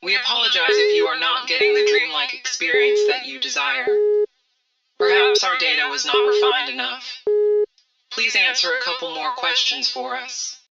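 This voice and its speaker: Woman as calm corporate machine announcer, high voice, polite, distant lo-fi sound, analog horror. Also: moderate pace, crowd noise, lightly acted. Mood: polite, apologetic. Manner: formal, polite. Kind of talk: scripted automated announcement. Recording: dull, distant, under very loud music.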